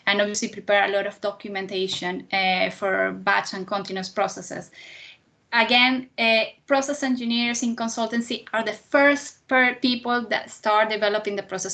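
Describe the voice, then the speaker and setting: A woman's voice: medium pitch, a bit bright. A woman in her thirties from Venezuela, a native Spanish speaker with a Venezuelan accent, giving a careers presentation to students in slightly hesitant, non-native English. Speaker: guest speaker, chemical engineer.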